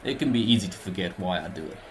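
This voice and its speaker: Man as a low-voiced, world-weary private eye. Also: lively intonation, quick pace. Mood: modest, friendly. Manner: informal, folksy, hesitant. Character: modest, world-weary male private detective, plain-spoken. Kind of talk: noir radio drama acting.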